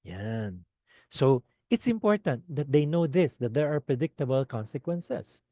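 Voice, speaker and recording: Low-voiced Filipino man teaching teachers. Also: unhurried, no room sound, clean studio sound. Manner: animated, repeats "okay?" often. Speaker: teacher trainer. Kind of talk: webinar lecture for teachers.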